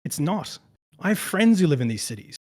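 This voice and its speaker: A man's voice: a little high, sing-song, a little fast, darkish tone, slightly rough. A man who works as a conflict journalist, rebutting his opponent in a debate in a dismissive tone, with frequent "you know" fillers.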